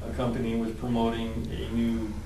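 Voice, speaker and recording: A man with a nasal voice in a focus group, speaking in a measured, careful way with many fillers. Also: medium pitch, completely flat delivery. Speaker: focus group participant, air traffic controller. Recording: roomy, distant, noisy room mic.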